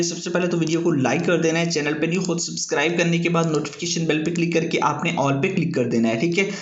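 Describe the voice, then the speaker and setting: Guy doing a casual app how-to, repeats himself, echoey room and kinda dull audio. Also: a little high. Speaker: YouTube tutorial host. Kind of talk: informal app tutorial narration.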